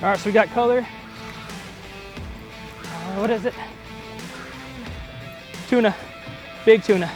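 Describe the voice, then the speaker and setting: A man's voice: sits high, a little slow, long pauses. A man hosting a casual fishing vlog outdoors on a boat. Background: music and boat sounds.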